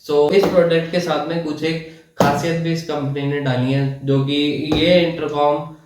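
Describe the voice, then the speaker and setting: A man giving a casual product explanation in a halting, repetitive way. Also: a little high, flat delivery, background noise. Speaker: product reviewer. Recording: roomy, echoey sound.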